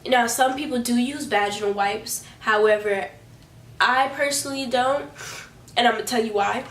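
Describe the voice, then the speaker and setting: A woman's voice: fairly high pitch, far from the mic, slight background noise. A young woman vlogger, chatting casually to camera. She sounds stuffy from a cold, and she rambles with frequent restarts and fillers. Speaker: beauty and hygiene vlogger. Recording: echoey room, voice a bit off-mic.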